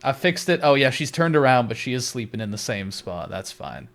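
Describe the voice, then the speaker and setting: A man from Canada, hosting a stream in relaxed casual chat, swearing casually and laughing often. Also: mid-range voice, fairly quick. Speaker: streamer host.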